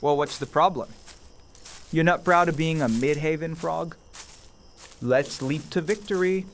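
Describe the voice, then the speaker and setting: A man's voice: on the high side, unhurried, slight background noise. A man playing a teasing, practical young friend in a horror audio drama.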